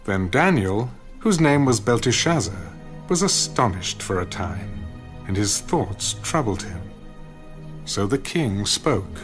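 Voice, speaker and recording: A male narrator of a scripture drama with a fairly low voice. He reads very slowly with wide movement in pitch, over faint music. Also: sing-song. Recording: faint music bed behind.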